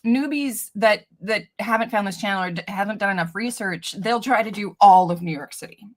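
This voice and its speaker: A woman's voice: mid-range voice, fairly quick. A woman from Canada hosting a casual interview, chatty and laughing often as she gives business advice. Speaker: host, cleaning business coach.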